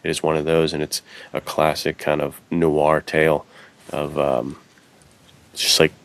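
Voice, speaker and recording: A man's voice: a bass voice, level tone, a little slow, vocal fry. A man co-hosting a podcast, chatting informally and keenly in a conversational way. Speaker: podcast co-host. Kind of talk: casual podcast chat about film and menswear.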